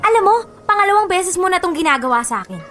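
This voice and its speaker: A woman's voice: very high-pitched, big pitch swings, fast talker, a bit bright, full character voice. A woman acting a sulky young woman fed up with her boyfriend in a Filipino radio drama, complaining in a huffy, emphatic way. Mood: annoyed, sulky. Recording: music bed behind voice.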